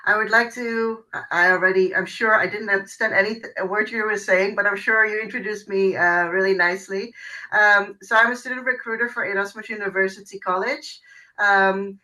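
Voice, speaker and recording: A woman's voice: medium pitch, steady tone, brisk, pushed and bright, low-quality recording. A woman working as a university recruiter, giving an informal presentation in an online webinar. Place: online video call.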